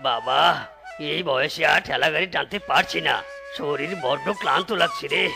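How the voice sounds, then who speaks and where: A man voicing an aging working animal, a cart-pulling uncle in a children's cartoon, with a gravelly, strained voice. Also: on the high side, normal speed, music in the background. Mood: weary.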